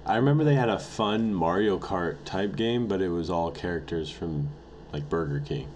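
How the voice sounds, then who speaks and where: Deep, laid-back man in his twenties. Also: moderate pace, a little mellow, slight background noise. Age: 20s. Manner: laid-back, playful, laughs a lot. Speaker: podcast co-host. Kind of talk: casual chat.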